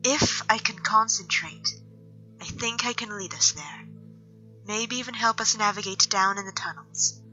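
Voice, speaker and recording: Woman acting an earnest elf woman, sharply bright voice. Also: mid-range voice, normal speed, muffled recording, natural voice. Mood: defensive, earnest. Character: reserved, uneasy young elf woman, earnest nature mage. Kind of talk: audio drama acting. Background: music.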